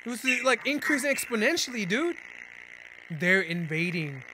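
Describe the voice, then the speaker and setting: A man's voice: high-pitched, sing-song, normal speed, noisy background. A man in his twenties with an American accent giving casual, slangy, exclaiming reaction commentary. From California, United States. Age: 20s. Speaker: reaction video host. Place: small indoor room at a gaming setup. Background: music.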